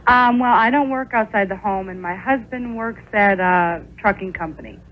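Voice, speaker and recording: A woman's voice: mid-range voice, normal speed. A woman in her twenties calling in to a radio show and chatting casually over the phone. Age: 20s. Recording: dull lo-fi telephone line on radio.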